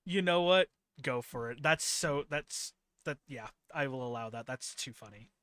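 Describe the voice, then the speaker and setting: A man's voice: medium pitch, normal speed, fairly bright tone, dry recording, clean studio sound. A man running a tabletop game as game master, chatting casually and laughing often between his words. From Philippines heritage.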